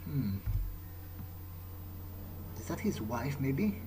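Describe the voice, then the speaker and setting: A man's voice: mid-range voice, very animated, drawn-out words, noisy background. A man giving casual, in-character commentary as he plays a game, musing in a wry, sweary way. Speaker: let's player. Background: game music.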